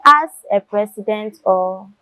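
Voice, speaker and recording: A woman's voice: medium pitch, animated, bright, forceful tone, dry recording, rough recording. Earnest schoolgirl, dry close sound. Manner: earnest, measured, pauses to find words. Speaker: student. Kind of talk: answering in a discussion.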